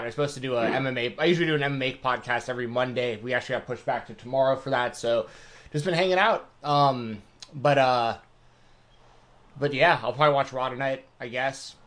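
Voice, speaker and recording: A man of ordinary pitch hosting a live stream from home. He talks in a loose, easygoing way, circling back on himself with fillers and restarts. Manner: relaxed, rambling, many fillers and restarts. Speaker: podcast host. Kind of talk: casual live-stream chat.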